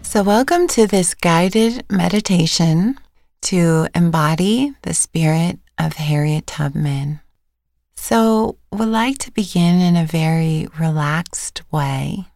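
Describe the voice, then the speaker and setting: A woman meditation teacher giving gentle, unhurried guided instructions with pauses between phrases. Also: fairly low pitch, vocal fry, no room sound, clean studio sound. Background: music.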